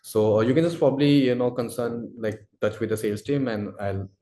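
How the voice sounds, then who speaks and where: A man answering audience questions as a product expert in a live webinar, speaking haltingly and repeating himself as he explains. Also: mid-range voice, mellow. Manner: halting, repetitive, hedging delivery. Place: indoor room, webinar call. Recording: roomy, echoey sound.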